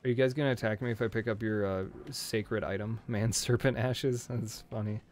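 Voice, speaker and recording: Hoarse man joking through a game stream. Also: lowish voice, fairly even intonation, normal speed, a little hiss or hum. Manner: jokey, talks to enemies on screen. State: hoarse from screaming. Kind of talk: casual gameplay commentary.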